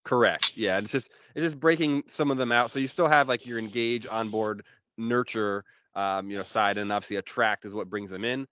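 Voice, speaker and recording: Smooth low-voiced man explaining things informally. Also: moderate pace, a bit bright, dry recording. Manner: informal, explanatory, frequent "you know". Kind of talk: casual business explanation.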